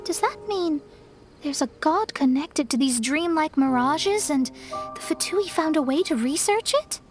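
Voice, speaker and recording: A woman's voice: high-pitched, lively intonation, measured pace, soft background music. A woman voicing an earnest young female investigator in a video game dub, speaking in an articulate, explanatory way.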